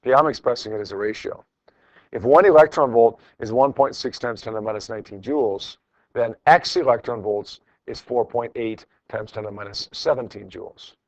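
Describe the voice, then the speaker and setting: A man's voice: medium pitch, a little fast, dry recording, lo-fi sound. A middle-aged man teaching a class in an informal, encouraging way, repeating phrases for emphasis as he works through problems. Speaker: high school physics teacher. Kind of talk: classroom lecture, working through problems with students. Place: classroom with students.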